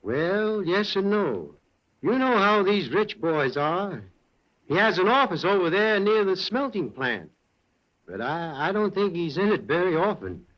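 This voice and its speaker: An actor playing a hesitant, stammering general manager in an old radio drama. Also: male voice, high voice, very animated, unhurried. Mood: uneasy. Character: nervous evasive male mill manager. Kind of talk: 1940s radio acting. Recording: dull old radio recording.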